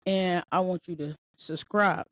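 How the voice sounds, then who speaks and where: A woman's voice: lowish voice, steady tone, a little slow, fairly bright tone, dry recording. A woman in her late twenties hosting a solo podcast, talking casually and emphatically with lots of repetition, like a motivational pep talk. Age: 20s. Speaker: podcast host.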